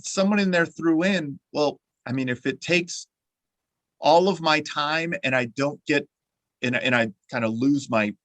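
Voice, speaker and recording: A man hosts a podcast conversation, rambling along and circling back over his words. His voice sits at an average pitch, and the sound is dry and close with no room echo. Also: fairly bright tone. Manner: rambling and repeats his words, many "uh" restarts. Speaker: podcast host. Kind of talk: conversational interview.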